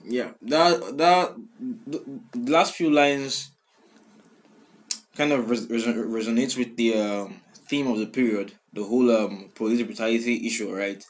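A man's voice: mid-range voice, unhurried. A young man hosting a song reaction, talking casually with many fillers and restarts as he repeats lyrics back. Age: young adult. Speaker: music reaction host. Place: small room indoors. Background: song playing in the background.

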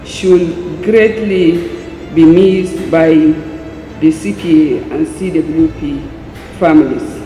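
A middle-aged woman, a parliamentarian, reading a formal eulogy in a solemn, measured way with deliberate phrasing. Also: low-pitched, warm tone. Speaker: parliamentarian giving a tribute. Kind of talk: formal eulogy read from a script. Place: large indoor atrium hall at a memorial ceremony. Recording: echoey hall, long reverb, music behind.

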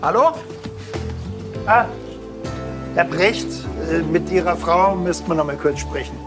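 Actor as a gruff, sardonic cop, higher-pitched. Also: male voice, measured pace, over loud music, close to an everyday voice. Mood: casual. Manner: blunt, dry. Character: gruff, sardonic male police investigator. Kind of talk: TV crime comedy acting.

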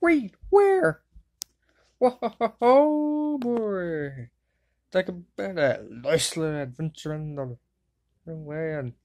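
A woman's voice: low voice, sing-song, drawn-out words, darkish tone, exaggerated voice. A woman doing a wisecracking boy wizard. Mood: excitable. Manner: wisecracking, yelps and exclamations. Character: jokey excitable young boy wizard. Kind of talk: fan dub voice clips.

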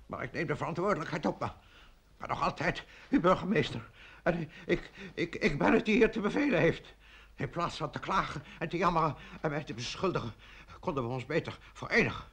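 Radio drama: blustering, self-pitying mayor, husky, creaky delivery. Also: male voice, a little high, expressive, a little fast, a bit bright, full character voice. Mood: bossy, blustering. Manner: lamenting, stammering, pompous. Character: flustered, blustering male village mayor, self-pitying then bossy. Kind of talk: Dutch radio play acting.

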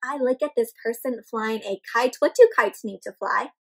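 A young woman hosting an interactive picture-book read-aloud for small children, expressive and animated, asking them questions as she goes. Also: on the high side, moderate pace, husky. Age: young adult. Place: indoor room at home with plants and bookshelf.

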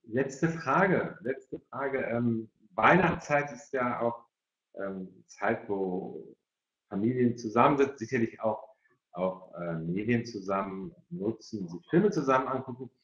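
A middle-aged or older man moderates a video-call discussion. He speaks haltingly, often doubling his words. The sound is roomy and somewhat muffled. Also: medium pitch, animated, a bit bright. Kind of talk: hosting an online parents' evening discussion. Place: indoor room, video call.

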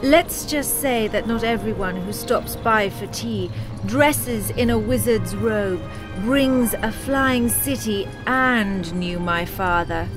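A woman's voice: mid-range voice, takes it slowly, music in the background, lightly acted. An actress playing a stern young noblewoman knight in a video game, speaking in a dry, firm, commanding way. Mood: sardonic. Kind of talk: video game cutscene acting.

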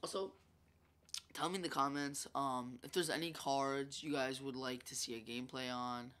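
A young man commentating his own gameplay in a loose, rambling way, full of ums and asides. His voice is bright but stuffy and congested. He talks into a desk mic over the game. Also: mid-range voice, fairly even intonation, normal speed, clear, resonant tone. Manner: chatty, rambling, filler-heavy. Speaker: gaming YouTuber.

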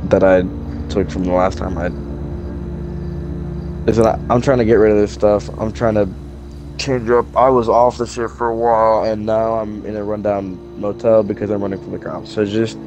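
A man's voice: fairly low pitch, little pitch movement, moderate pace, noisy background, natural voice. A man playing a jittery, foul-mouthed drug dealer in hiding in an improvised game roleplay, with a hesitant, rambling delivery. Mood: evasive, uneasy. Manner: hesitant, rambling, profane slacker talk.

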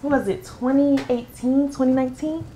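A woman's voice: sits high, steady tone, normal speed, dark tone, rough recording. A young woman raised in Florida, chatting informally and with some slang as an interview guest. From born in Liberia, raised in Florida, United States. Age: young adult. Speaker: guest, head of operations of a food business. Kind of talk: casual chat interview. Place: home kitchen, indoors. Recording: clear sizzling kitchen noise behind. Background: frying and sizzling food.

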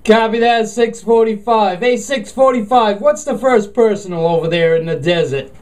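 High voiced guy chatting on cb radio, friendly, lots of call signs and sign-offs. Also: normal speed, darkish tone, slight background noise. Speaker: CB radio operator. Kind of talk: casual radio contact chat. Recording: thin phone-like CB radio sound, chatter.

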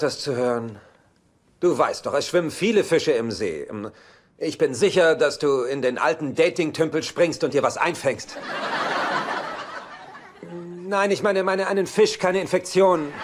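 An actor playing an evasive, glib engaged playboy in dubbed sitcom dialogue, wry and easily flustered. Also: male voice, a little high, a little hiss or hum.

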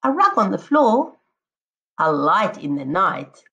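A woman teacher reading a picture book aloud to children, expressively, addressing them directly and encouragingly with drawn-out emphatic words. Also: medium pitch, very animated, fairly bright tone, highs cut off. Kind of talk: expressive children's read-aloud with prompting questions.

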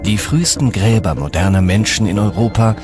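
A man reading scripted documentary narration in a measured, dramatic storytelling way. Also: on the low side. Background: background music.

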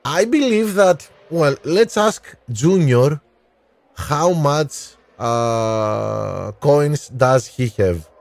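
Slow, unhurried male game streamer. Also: on the high side, animated, creaky, dry recording. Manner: unhurried, talks to chat by name, frequent "uh" pauses. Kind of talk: live gameplay commentary and chat replies. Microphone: headset mic.

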